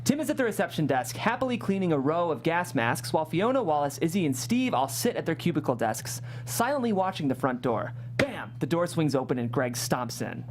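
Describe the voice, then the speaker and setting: A woman with a low voice narrates the stage directions of a comedy script at a table read. A faint hum and some noise sit behind her. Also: sing-song, fairly quick, hardly any pauses.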